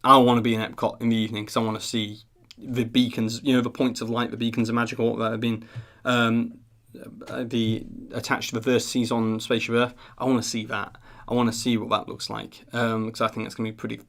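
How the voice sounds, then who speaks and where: A man's voice: medium pitch, little pitch movement, a little fast, a bit bright. Rambling, earnest man chatting. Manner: rambling, earnest, self-deprecating. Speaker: live stream host of a theme park news channel. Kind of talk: casual chat to camera on a live stream.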